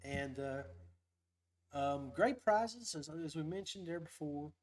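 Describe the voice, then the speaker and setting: A man's voice: fairly high pitch, expressive, takes it slowly. A man hosting a casual fishing livestream, talking in a rambling, folksy, upbeat way. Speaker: podcast host.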